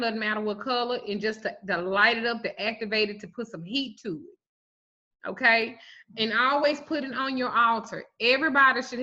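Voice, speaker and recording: A woman in her forties, a spiritual reader, telling stories on a livestream in a blunt, profane, animated way. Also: fairly high pitch. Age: 40s. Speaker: spiritual reader and root worker. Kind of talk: casual livestream talk. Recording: muffled lo-fi stream audio.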